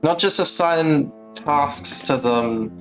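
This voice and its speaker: A man's voice: fairly high pitch, takes it slowly, a bit bright, soft background music. A man casually commentating on live gameplay as a streamer, blunt and sweary. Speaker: game streamer.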